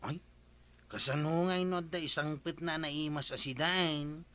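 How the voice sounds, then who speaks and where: An actor with an Ilocano accent playing an inquisitive village friend in an audio drama. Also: male voice, on the high side, fairly even intonation, a little slow, bright, forceful tone, no room sound.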